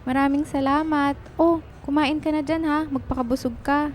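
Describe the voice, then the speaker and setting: A woman's voice: high-pitched, fairly even intonation, normal speed, close to an everyday voice. A woman playing a cheerful, caring and teasing young best friend in a radio drama.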